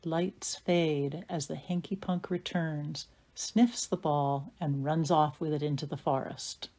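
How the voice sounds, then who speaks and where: Female narrator, slightly low register, scripted atmospheric storytelling for an audio play. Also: steady tone, slow pace, dark tone.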